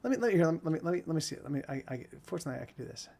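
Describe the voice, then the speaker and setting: A man's voice: fairly high pitch, expressive, rapid-fire, creaky, warm tone, soft background music. A man in his forties, a musician chatting casually with stream viewers between songs, rambling and often repeating and restarting his words. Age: 40s.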